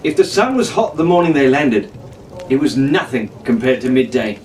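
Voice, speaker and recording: A man narrates an adventure story in a theatrical pirate-captain manner. His voice sits at a middle pitch. It comes from a little way off the mic in an echoey room, with faint noise underneath. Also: normal speed, a little mellow, distant mic. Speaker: storyteller reading an adventure novel aloud.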